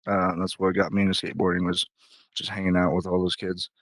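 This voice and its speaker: A man's voice: low voice, completely flat delivery, quick pace, fairly bright tone, dry recording. A young man, a musician guest, chatting casually in an interview, telling rambling stories and swearing freely. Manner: laid-back, swears freely, rambling storyteller.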